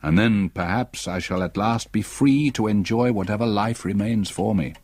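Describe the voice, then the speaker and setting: An actor playing a weary, haunted older British gentleman, grave and deliberate, in a radio drama. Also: lowish voice, close to an everyday voice. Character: haunted, weary old retired solicitor, tender with wife. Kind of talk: radio drama acting and narration.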